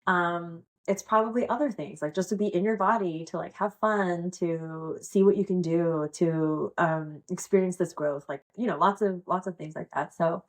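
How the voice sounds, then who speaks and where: A woman's voice: on the low side, moderate pace, few pauses. Chatty woman rambling with fillers. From United States. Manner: rambling, many fillers, laughs often. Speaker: pole dance instructor, guest. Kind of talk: casual interview chat.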